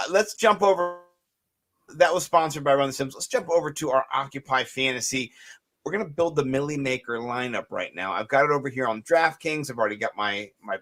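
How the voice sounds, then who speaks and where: A man with a raspy voice co-hosting a casual fantasy sports show, joking around and talking in loose restarts. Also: medium pitch, brisk. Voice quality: raspy today. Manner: animated, jokey, rambling with restarts. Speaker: co-host.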